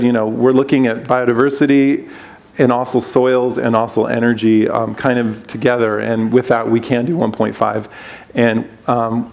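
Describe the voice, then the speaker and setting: An enthusiastic man presents at a conference forum. He talks through his slides quickly, with loose, restarting phrases. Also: medium pitch, creaky, darkish tone. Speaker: conference speaker.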